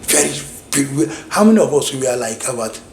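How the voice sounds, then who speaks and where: A man's voice: medium pitch, animated, normal speed, a little hiss or hum. Rambling Nigerian man in echoey room. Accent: Nigerian English. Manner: rambling, many restarts and repeated phrases. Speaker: vlogger. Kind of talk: casual reminiscing monologue.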